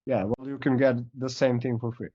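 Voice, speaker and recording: A man speaks as an in a casual coaching call, hoarse and running low on voice. His explanations wander with "like" and "uh". The video-call sound is dry and dull. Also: steady tone, a little fast, dry recording. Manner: loose, filler-heavy explaining. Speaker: coach giving feedback.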